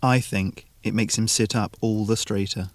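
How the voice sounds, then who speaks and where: A man reading an atmospheric short story in a deliberate, measured, literary manner. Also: fairly low pitch, steady tone, noisy background. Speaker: narrator.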